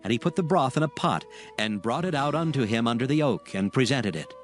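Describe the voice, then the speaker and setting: A man narrates a dramatized scripture story with solemn, measured delivery. His voice sits at a medium pitch, with music playing softly behind it. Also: lively intonation.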